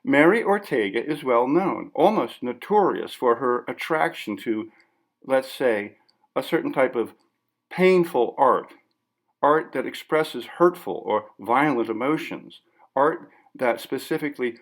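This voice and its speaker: A man reading as a slow, probing interviewer. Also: medium pitch, animated. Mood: measured. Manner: measured, polite but pressing. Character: probing, formal, persistent interviewer questioning an artist.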